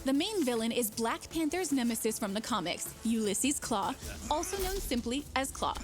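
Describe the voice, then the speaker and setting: A woman reading scripted promotional narration as a showcase presenter, with polished, measured announcer delivery. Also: fairly high pitch, big pitch swings. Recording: prominent music under the narration.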